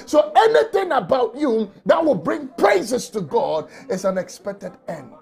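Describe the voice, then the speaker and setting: A man preaching an emotional sermon from a small office on a lapel mic, often shouting and crying out. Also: very high-pitched, sing-song, a little slow, gravelly. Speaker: pastor.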